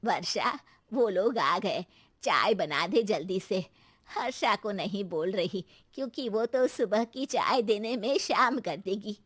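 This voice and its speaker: A woman's voice: mid-range voice, animated, slow pace, fairly bright tone, no room sound. A woman voicing an older mother-in-law in a cartoon story, welcoming and fussy, with a coaxing manner. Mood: urging. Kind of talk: cartoon moral story character voice.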